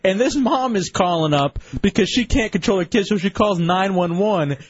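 A man hosting a radio talk show, animated and mocking, quick to laugh. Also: high-pitched, husky, no room sound, lo-fi sound. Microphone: studio microphone.

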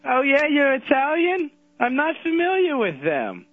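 A man's voice: huge pitch swings, unhurried, no echo, full character voice. A man voicing a sweet, doddering old man who calls in to a radio show, rambling fussily. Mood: doting. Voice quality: high, quavering old-man voice. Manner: fussy, rambling, says odd things. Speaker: caller. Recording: dry, dull radio broadcast.

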